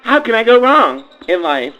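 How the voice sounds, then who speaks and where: A man's voice: extremely high pitch, big pitch swings, moderate pace, poor audio. A man playing a vain, melodramatic young Southern pageant queen with a theatrical, gushing delivery in a comic radio play. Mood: anxious, gushing. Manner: theatrical, gushing, shrieking when upset.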